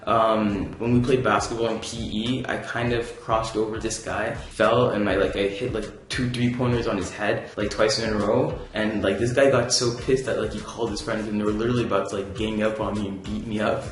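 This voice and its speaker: A man's voice: on the low side, little pitch movement, a little fast, few pauses. A young man from Canada telling a casual vlog story, rambling and laid-back with many fillers. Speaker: vlogger. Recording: very echoey room.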